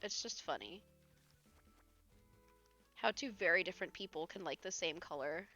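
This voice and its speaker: A young woman with a hoarse voice, chatting casually with her live audience while she plays a game, often laughing and reading comments aloud. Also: on the low side, animated, normal speed, bright, forceful tone, faint music behind. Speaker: live streamer. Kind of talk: casual chat while gaming.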